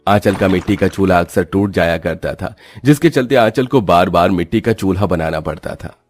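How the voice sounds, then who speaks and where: A man's voice: sits low, animated, a little fast, dry recording, clean studio sound, soft background music. A man giving scripted narration for a cartoon story.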